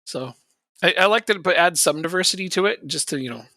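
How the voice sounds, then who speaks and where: A man's voice: a little high, expressive, fast, creaky, sharp tone, no room sound, clean studio sound. A man chatting casually on a live stream while playing a football management game, rambling and joking and chuckling often.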